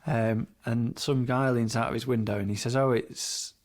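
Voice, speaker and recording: A man's voice: medium pitch, steady tone, moderate pace, noisy background. A man who works as a police officer, talking candidly and informally.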